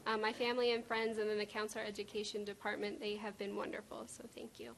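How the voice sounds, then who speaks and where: A woman's voice: medium pitch, little pitch movement, fast, creaky, a little hiss or hum. A woman graduate giving a casual, slightly shy thank-you speech at a ceremony. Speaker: counseling graduate.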